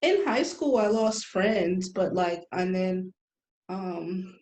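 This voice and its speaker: A woman's voice: on the low side, animated, takes it slowly, a little mellow. A young woman talking candidly and colloquially as a guest in a casual podcast conversation. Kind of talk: casual group chat. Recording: echoey room.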